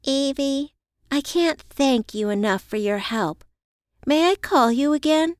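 An excitable, admiring woman friend as an audiobook narrator acts her out, gushing and emotional. The voice is lifted well up in pitch and sounds dry. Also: takes it slowly, no room sound. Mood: grateful.

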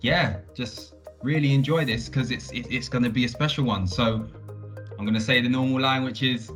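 A man's voice: mid-range voice, fairly even intonation, normal speed, fairly bright tone, roomy sound, soft background music. A man hosting a podcast, upbeat and chatty, often restarting and rambling a little as he introduces the episode. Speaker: podcast host.

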